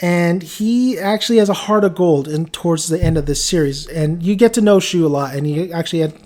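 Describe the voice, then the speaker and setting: A man's voice: fairly high pitch, a little fast, a little mellow. A man with a Mexican accent giving a casual, rambling video review, often restarting his sentences and chuckling as he talks. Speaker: anime reviewer.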